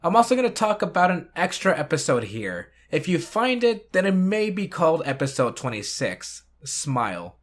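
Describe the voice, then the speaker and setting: A man's voice: on the high side, big pitch swings, moderate pace, hardly any pauses. A man hosting a retrospective video, reading scripted recap and review narration.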